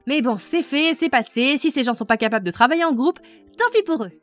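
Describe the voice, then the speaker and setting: A woman's voice: high voice, expressive, a little fast, soft background music. A woman answering fan questions about a project in a frank, wry manner. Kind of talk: casual Q&A answers.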